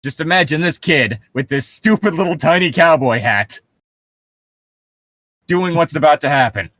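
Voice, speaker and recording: A man with a fairly high, very animated voice, riffing casually as a comedy podcast co-host. He swears freely and sounds mock-outraged, in a dry, close recording. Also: moderate pace, no echo.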